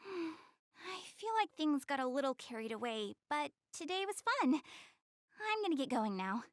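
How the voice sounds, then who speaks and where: A woman playing a proud, self-conscious young goddess girl in an anime-style game, expressive, easily flustered and earnest. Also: high-pitched, a little slow, no echo. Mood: bright, excited.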